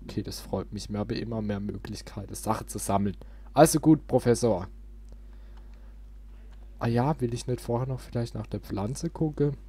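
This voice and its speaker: Low-voiced man commentating a game. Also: moderate pace, dark tone, husky, dry recording. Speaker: let's play host. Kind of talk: casual gameplay commentary.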